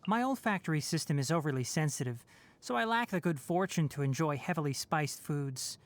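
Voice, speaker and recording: A man's voice: on the high side, animated, brisk. An actor playing a young male forest ranger with fox ears and a tail, composed, wry and knowledgeable, in video game voice lines. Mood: calm wry. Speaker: game character.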